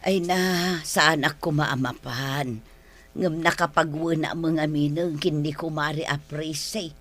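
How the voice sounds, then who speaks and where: A woman's voice: low-pitched, steady tone, slow pace, a bit bright, exaggerated voice. An actress playing a patient older mother and wife with an Ilocano accent, coaxing and mildly chiding in a radio drama. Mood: chiding, soothing. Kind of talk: Philippine radio drama acting.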